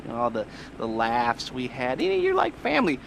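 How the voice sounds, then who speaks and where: A man's voice: wildly swooping pitch, brisk, vocal fry, fairly bright tone, a little hiss or hum, exaggerated voice, poor audio. An actor playing a jittery, heavily medicated male heist planner in a video game, rambling and laughing nervously. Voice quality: nervous, rambling, giggly, manic swings in pitch. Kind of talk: video game cutscene acting.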